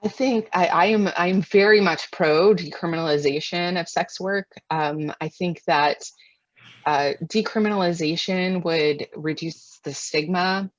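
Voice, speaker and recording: Low-voiced American woman, muffled roomy audio. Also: fairly even intonation, a little slow. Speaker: guest expert answering webinar questions. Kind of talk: extemporaneous webinar question and answer.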